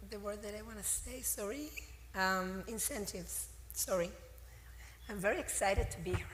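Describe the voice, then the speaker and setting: A middle-aged woman who has lost her voice, speaking on a conference panel with halting, word-searching English. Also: medium pitch, fairly bright tone, a little hiss or hum. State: hoarse, losing her voice. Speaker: panelist, legal and government relations lead. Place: conference hall. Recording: echoey hall with faint ambience.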